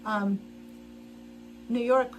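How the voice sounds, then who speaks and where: A woman's voice: a little high, fairly even intonation, very slow, a little hiss or hum, low-quality recording. A middle-aged woman chatting casually as she sews on a livestream, rambling with frequent restarts and laughs, in her sewing room at home. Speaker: livestream host. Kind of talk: casual chat while sewing.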